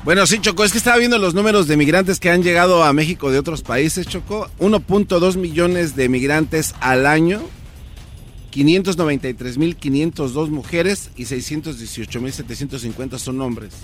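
A man's voice: on the high side, normal speed, fairly bright tone, faint music behind. A man talking conversationally as a radio co-host. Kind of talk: conversational radio talk, reading out figures.

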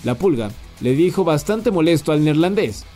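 A man hosting a sports news podcast, reading the day's football stories in a brisk, scripted way. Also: a little high, soft background music. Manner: brisk, even headline delivery.

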